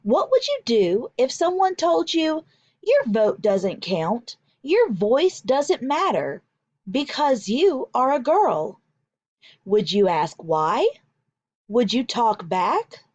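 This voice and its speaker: A woman teacher reading aloud to children in an expressive, deliberate, emphatic way. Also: a little high, no echo, highs cut off. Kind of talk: expressive children's read-aloud with vocabulary explanations.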